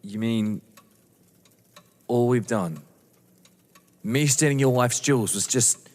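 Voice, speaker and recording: An actor playing a nervous, pleading young lover in an audio drama, incredulous and afraid. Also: male voice, mid-range voice, slow, lightly acted. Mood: nervous protest. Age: young adult. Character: frightened, pleading young lover of novelist's wife. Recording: reverberant hall-like room.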